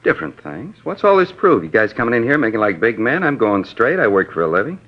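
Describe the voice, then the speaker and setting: A man's voice: medium pitch, big pitch swings, fast talker. A man playing a defensive ex-convict burglary suspect in a 1950s radio drama, bitter and sneering, on a dull old radio recording.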